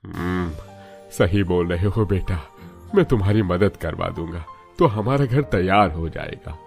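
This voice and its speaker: Man voicing a deep-voiced, kindly elderly father-in-law. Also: unhurried, creaky, darkish tone, soft background music. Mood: approving. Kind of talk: Hindi cartoon moral story dubbing.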